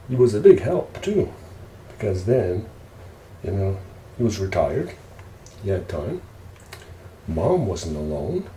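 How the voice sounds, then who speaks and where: A man telling casual ASMR stories in an unhurried, rambling way. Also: deep voice, warm tone. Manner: unhurried, rambling family reminiscing. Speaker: ASMR storyteller. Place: indoors, roomy space while preparing food. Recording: roomy echo, faint background noise.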